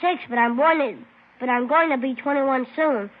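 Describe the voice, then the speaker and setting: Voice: high voice, moderate pace, narrow, tinny audio, lightly acted. A performer playing an eager, boastful six-year-old boy with an earnest, childlike manner in an old radio drama. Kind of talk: 1950s radio drama acting. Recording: thin, band-limited old radio recording.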